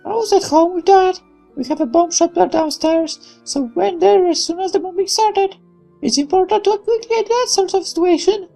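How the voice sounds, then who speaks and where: A man voicing a polite, caring young woman in a visual novel, gentle and girlish. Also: very high voice, moderate pace, vocal fry, soft background music, pitched above the performer's own voice. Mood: caring, composed.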